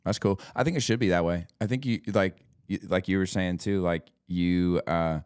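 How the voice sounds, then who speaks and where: A man with a deep baritone voice and a Southern accent, chatting casually as a podcast host, halting and full of fillers. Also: darkish tone, smooth, dry recording, clean studio sound. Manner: easygoing, filler-heavy, halting. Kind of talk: casual chat.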